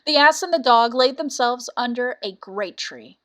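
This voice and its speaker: A woman's voice: fairly high pitch, animated, moderate pace, fairly bright tone. A woman reading a fairy tale as a storyteller, in scripted narration.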